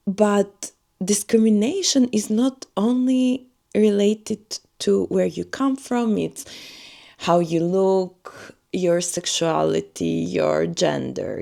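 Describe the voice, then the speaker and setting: A woman's voice: medium pitch, vocal fry, darkish tone. A woman from Albania, a native Albanian speaker, talking earnestly in a personal vlog and searching for her words. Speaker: vlogger. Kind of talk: personal reflective monologue.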